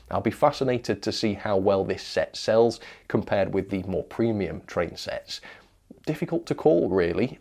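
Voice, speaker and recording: A man's voice: on the low side, dark tone. A man with a British accent giving a casual, opinionated product news commentary, speaking conversationally with little asides. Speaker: presenter of a model train review channel.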